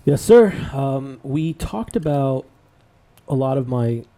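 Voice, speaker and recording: A man from Bangladesh, co-host of a podcast, telling his life story in a relaxed, rambling way. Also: mid-range voice, slow pace. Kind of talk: casual podcast conversation.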